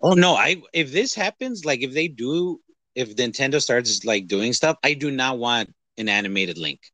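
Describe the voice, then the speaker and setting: A man co-hosting a podcast in casual movie chat, rambling in an animated, hyperbolic way. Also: medium pitch, normal speed, no echo. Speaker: podcast co-host.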